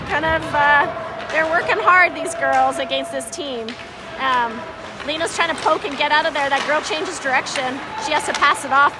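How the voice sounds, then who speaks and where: A high-pitched, slightly scratchy female voice calls a hockey game informally. She sounds like an amateur, halting and openly unsure as she follows the play. Loud music and crowd noise fill the arena behind her. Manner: halting, unsure, self-deprecating, conversational. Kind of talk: informal live hockey commentary. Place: indoor ice hockey arena.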